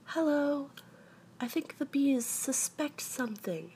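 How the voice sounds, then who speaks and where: Woman voicing an earnest, raised-pitch little storybook bear. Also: lively intonation, darkish tone, slight background noise. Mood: anxious, wary. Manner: deliberate, earnest, pondering. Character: earnest, anxious, self-important small male toy bear. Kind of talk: children's storybook character voice.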